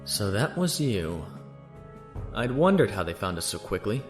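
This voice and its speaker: A man's voice: lowish voice, sing-song, unhurried, faint music behind. A man acting in an audio drama as a captive lieutenant, guarded, sardonic and defiant. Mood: guarded, probing.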